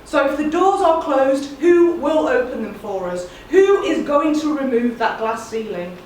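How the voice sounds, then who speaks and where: A woman, giving an earnest, measured spoken-word monologue. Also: sits high, lively intonation, darkish tone, slight background noise. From England. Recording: very echoey room, distant mic.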